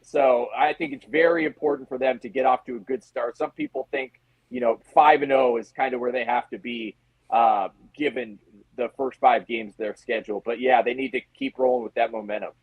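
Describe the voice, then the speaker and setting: A man with a creaky, husky voice gives casual sports analysis as an interview guest. His pitch sits in the middle, and the sound is dry and close. He talks conversationally, tossing in rhetorical questions as he goes. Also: steady tone, brisk, no echo, phone-quality sound.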